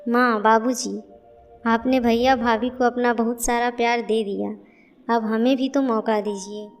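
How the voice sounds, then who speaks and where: Lady playing sweet dutiful young village bahu in a cartoon, high-ish voice, faint music under. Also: fairly even intonation, a little slow. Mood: gentle, caring. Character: kind, dutiful young village daughter-in-law. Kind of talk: Hindi family cartoon dialogue.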